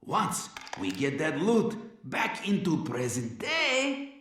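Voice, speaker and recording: Blustering Western outlaw boss, wildly swooping voice. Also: male voice, a little high, wildly swooping pitch, slow, noisy background, exaggerated voice. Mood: gloating menace. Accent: American Western drawl. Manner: theatrical, folksy, swinging between menace and glee. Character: blustering Wild West outlaw crime boss, male, hot-tempered braggart. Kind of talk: animated video game villain acting. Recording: echoey, with loud music behind.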